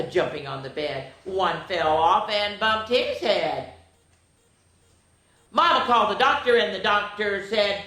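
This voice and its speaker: A woman's voice: mid-range voice, a bit bright. Older woman storyteller in an echoey room. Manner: sing-song, drawn-out reading with chatty asides; addresses young listeners directly. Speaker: children's story time host. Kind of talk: reading nursery rhymes aloud to children. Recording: roomy, echoing room sound.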